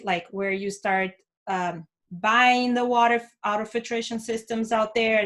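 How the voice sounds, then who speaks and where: A woman with a voice of ordinary pitch hosts an interview. She talks in a measured, conversational way and asks long, winding questions. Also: a bit bright. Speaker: interview host.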